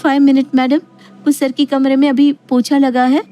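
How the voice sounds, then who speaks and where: Casting: woman as young receptionist, high, lifted voice, polite and apologetic, audio storybook. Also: flat delivery, a little slow, mellow, soft background music.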